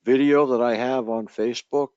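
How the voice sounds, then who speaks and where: A man explaining things slowly, with pauses, during a casual group discussion. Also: mid-range voice, fairly even intonation, darkish tone, clear, resonant tone, no room sound. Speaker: open mic participant. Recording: dry, dull lo-fi recording.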